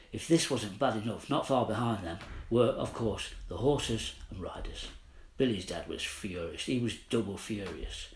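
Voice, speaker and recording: A man narrating a children's audiobook with dramatic storytelling, recorded in a roomy space. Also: fairly low pitch. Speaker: author narrating own audiobook. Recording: roomy, faint background noise.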